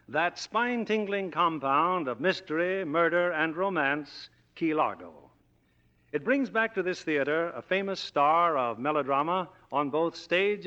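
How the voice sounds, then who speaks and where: A man hosting a 1940s radio theatre with formal, measured, theatrical scripted narration. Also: on the high side, bright, forceful tone. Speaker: radio theatre host and producer. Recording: dull vintage radio recording with music.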